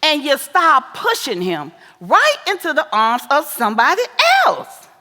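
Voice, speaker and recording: A woman acting a sassy, scolding, wise older American mother in a stage play, with an animated, folksy, preachy delivery. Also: high voice, a little slow, heavily acted. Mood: scolding, exasperated. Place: live stage in a theater. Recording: echoey theater hall with long reverb.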